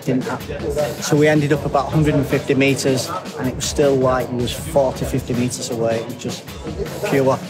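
A man gives an informal, first-hand testimonial in a mid-pitched voice. Loud music runs behind him throughout. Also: flat delivery, normal speed.

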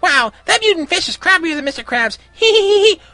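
Casting: a boyish cartoon sponge, very high and grating, giggly, overeager, over light music. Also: male voice, big pitch swings, brisk, sharp tone, no room sound, full character voice. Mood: gleeful.

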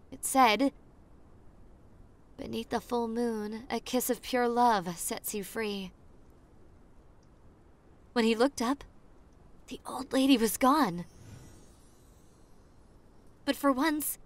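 Slow-spoken, sweet popular girl, acted by a woman. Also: on the high side. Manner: soft, intimate, giggly, telling a spooky story slowly. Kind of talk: ASMR roleplay.

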